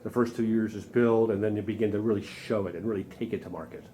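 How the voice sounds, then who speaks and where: A man's voice: on the low side, steady tone, fast, mellow, slight background noise. A man interviewing at an audio trade show in a casual, effusive and appreciative way. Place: indoor trade show demo room. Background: music.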